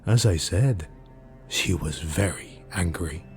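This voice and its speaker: A man's voice: sits low, lively intonation, slow pace, over music, pitched below the performer's own voice. A man voicing a sly, mocking rogue retainer in an audiobook, deferential and insinuating. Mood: sly, measured.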